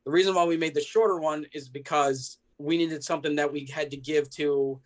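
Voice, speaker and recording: A man's voice: on the high side, fairly even intonation, a little fast. A man from the United States, a musician being interviewed, explaining things in an earnest, conversational way. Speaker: band member being interviewed. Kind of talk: casual explanatory interview chat. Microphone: video call.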